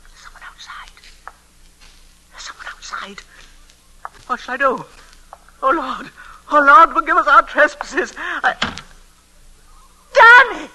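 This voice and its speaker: Very shrill, fretful older woman. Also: very animated, slow pace, long pauses, sharp tone, exaggerated voice. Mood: tearful relief. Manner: quavering, repetitive, overwrought. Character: fretful, needy older woman, self-pitying and fearful. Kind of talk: old-time radio melodrama acting. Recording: noisy vintage radio recording.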